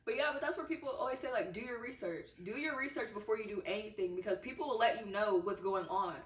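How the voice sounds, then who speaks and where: A young woman co-hosting a casual podcast, talking softly and frankly with lots of "like" fillers. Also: mid-range voice, fast talker. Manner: soft-spoken, filler-heavy, frank. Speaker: podcast co-host. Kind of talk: casual chat. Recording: echoey room, distant mic.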